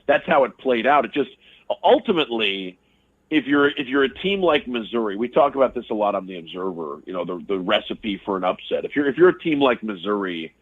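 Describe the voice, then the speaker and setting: A man's voice: fairly low pitch, lively intonation, fairly quick. A man calling in by phone as a guest on a sports show, thoughtful and repeating words as he works through his points. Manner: thoughtful, stammering restarts. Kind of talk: casual sports analysis. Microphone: telephone. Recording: tinny phone line.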